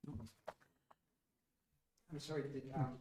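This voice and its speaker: A man's voice: medium pitch, slow, dark tone, reverberant, a little hiss or hum. A man on a town board, asking an applicant questions in a polite, hesitant way. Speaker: board member.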